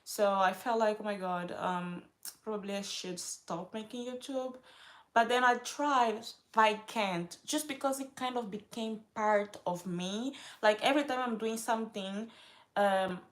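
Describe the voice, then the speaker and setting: A woman's voice: mid-range voice, unhurried. A young woman from Africa talking casually to her camera as a vlogger, rambling and often interrupting herself as she loses her train of thought. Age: young adult.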